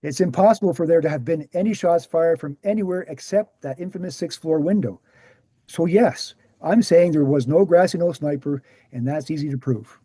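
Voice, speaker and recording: Man acting earnest male researcher, very soft dark tone, slightly high pitch, measured explanations, screenplay table read, dry close sound. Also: husky, no echo. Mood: assured. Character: Gary, earnest male presenter, calm debunking researcher.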